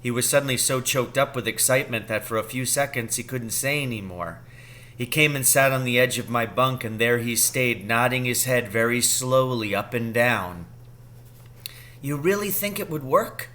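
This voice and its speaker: A man reading a children's story aloud as an expressive narrator. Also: mid-range voice, normal speed. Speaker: story reader.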